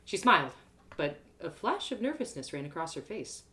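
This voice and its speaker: A woman reading a fantasy story aloud in an expressive, first-person narrating style. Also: low voice, moderate pace.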